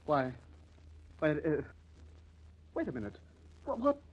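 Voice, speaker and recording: An actor in an old-time radio crime drama playing an indignant, flustered gentleman who blusters and stammers. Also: fairly high pitch, huge pitch swings, takes it slowly, slight background noise, heavily acted. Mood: flustered, alarmed. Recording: muffled vintage radio recording.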